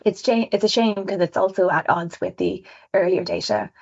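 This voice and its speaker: Fast-talking Irish woman on a webinar. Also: mid-range voice, fairly even intonation, gravelly, a little mellow. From Ireland. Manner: fast-talking, chatty, self-aware. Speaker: webinar presenter, visual designer. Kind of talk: presenting survey results on a webinar. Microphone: video call. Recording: dull lo-fi webinar audio.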